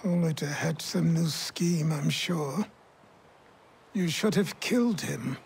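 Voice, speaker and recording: Game role: distrustful warrior, very unhurried, high gravelly voice, measured cynicism. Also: male voice, a little hiss or hum. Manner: measured, sceptical. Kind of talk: dramatic video game acting.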